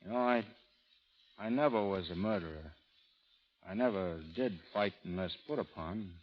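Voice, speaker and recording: A man playing a stubborn, quietly dangerous frontier gunfighter in an old radio drama, speaking in terse, folksy phrases. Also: lowish voice, moderate pace, natural voice. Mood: quiet, weary. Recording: muffled old radio recording with music.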